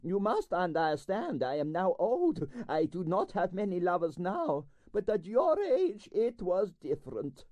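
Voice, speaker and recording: A man voicing a vain, bossy older Russian ballerina in a storybook, with a Russian accent, shrill falsetto and dramatic broken English. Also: very animated, measured pace, hardly any pauses, a little mellow, no room sound. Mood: frank, emphatic.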